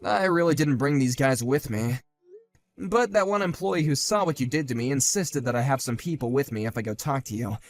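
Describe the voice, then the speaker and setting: A man voicing a calm, sardonic grown man who talks in a level, dry and cutting way, in a one-man voiced drama. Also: medium pitch, brisk, faint music behind, pitched below the performer's own voice, close to an everyday voice.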